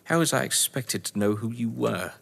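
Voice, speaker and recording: Theatrical, menacing gentleman novelist played by a man, cavernous echo. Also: medium pitch, animated, moderate pace, creaky. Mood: smug contempt. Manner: theatrical, eloquent, taunting menace. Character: cold, gloating gentleman crime novelist, betrayed husband. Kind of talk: audio drama acting. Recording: reverberant hall-like room.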